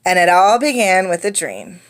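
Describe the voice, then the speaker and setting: Expressive woman reading aloud, very animated intonation. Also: fairly low pitch, normal speed. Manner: expressive, instructive. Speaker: teacher reading aloud to children. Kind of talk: interactive storybook read-aloud with questions.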